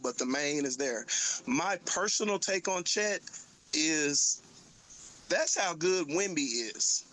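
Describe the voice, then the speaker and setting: A man from Oklahoma co-hosting a casual sports talk show, easygoing and halting, often repeating his words. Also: a little high, a bit bright, low-quality recording. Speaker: podcast co-host.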